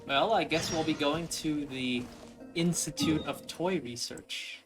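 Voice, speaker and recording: A man's voice: a little high, unhurried. A young man giving casual, musing let's play commentary in a hesitant way. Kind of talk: casual gameplay commentary, reading options aloud. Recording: voice-over with game music behind.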